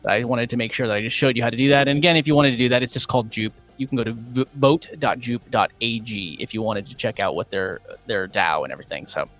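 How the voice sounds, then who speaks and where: A man hosting a casual livestream, upbeat and rambling with many fillers, his voice going a little hoarse. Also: mid-range voice, fast talker, hardly any pauses, fairly bright tone, soft background music. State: losing his voice. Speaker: livestream host.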